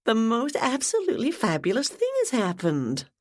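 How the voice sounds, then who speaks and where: A woman reader voicing a bubbly, excitable young woman who talks in breathless, high bursts. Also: moderate pace. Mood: gushing.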